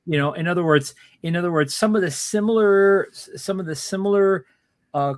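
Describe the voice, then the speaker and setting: A man's voice: high-pitched, a little fast, dry recording. A man hosting a casual political chat, explaining history at length in a rambling way. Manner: rambling explainer, many fillers and restarts.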